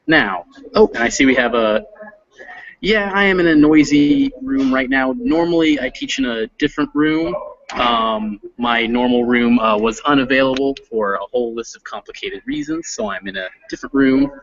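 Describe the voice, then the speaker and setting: A man's voice: medium pitch, moderate pace, a bit bright, lo-fi sound. A man teaching an online English reading class, friendly and a little hesitant, with lots of fillers. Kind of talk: online class, casual instruction. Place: classroom, not his usual one.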